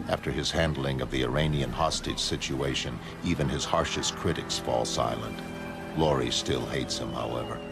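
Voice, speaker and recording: A man performs an aloof, melancholy superhuman male with an exceptionally deep voice. He narrates a motion comic in the first person, solemn, flat and measured, over clearly audible music.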